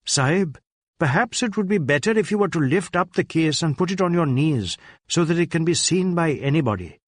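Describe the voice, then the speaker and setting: Guy doing a polite earnest indian cop driver in an audiobook, deferential, pitched up a bit. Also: lively intonation, fairly quick, no echo, clean studio sound. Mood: respectful, urgent. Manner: respectful, careful, earnest. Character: deferential Indian police inspector acting as chauffeur.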